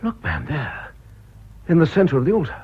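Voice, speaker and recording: An actor playing an urbane, testy middle-aged British husband, clipped and commanding, in a radio drama. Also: male voice, fairly high pitch, wildly swooping pitch, normal speed, breathy edge, heavily acted. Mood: hushed, intent. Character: urbane, testy, image-conscious husband turned amateur sleuth. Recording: dull, noisy radio drama recording.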